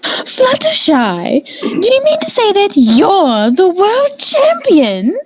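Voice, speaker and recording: A woman's voice: very high-pitched, wildly swooping pitch, slow, background noise, exaggerated voice. A woman voicing a refined, theatrical female unicorn pony with a posh, effusive manner. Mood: delighted.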